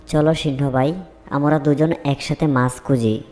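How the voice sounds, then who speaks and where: A man's voice: mid-range voice, steady tone, normal speed, pitched above the performer's own voice, natural voice. A man voicing wary forest animals, a crow, a fox and a heron, in a fable cartoon. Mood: obliging. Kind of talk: children's fable cartoon dialogue. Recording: loud music under the voice.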